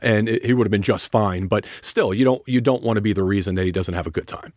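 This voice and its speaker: A man co-hosting a podcast, talking in a measured, agreeable way with some restarts. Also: on the low side, darkish tone, no room sound, clean studio sound. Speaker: podcast co-host. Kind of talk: casual chat. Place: Las Vegas.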